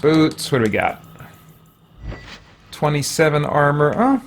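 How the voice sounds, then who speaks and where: A man's voice: fairly high pitch, very animated, measured pace, heavy background noise. A man hosting a game livestream, thinking aloud in a casual, rambling way as he chats with viewers.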